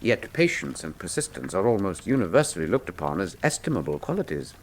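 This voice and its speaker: An actor playing a brilliant, cool Victorian detective, speaking formally and with dry wit in a radio drama. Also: male voice, deep voice, big pitch swings, fairly quick, a little hiss or hum. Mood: dry, analytical. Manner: precise, formal, dryly witty. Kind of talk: full-cast radio drama acting.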